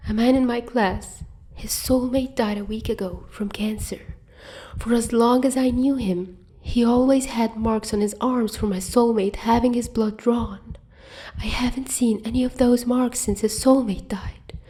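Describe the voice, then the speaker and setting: A soft-spoken young girl, played by a woman in an audio story, calm but shaken. She reasons gently in a slightly raised, mellow voice with a tremble. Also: fairly high pitch, measured pace, pitched above the performer's own voice, close to an everyday voice. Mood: shaky, subdued. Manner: quiet, shaky, gentle, reasoning.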